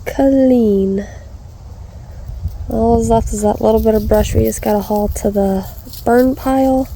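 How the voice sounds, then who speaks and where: A woman's voice: a little high, a little slow, mellow, a little hiss or hum, low-quality recording. A woman giving a casual vlog update, stuffy and congested, chatty with lots of ums and restarts. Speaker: vlogger.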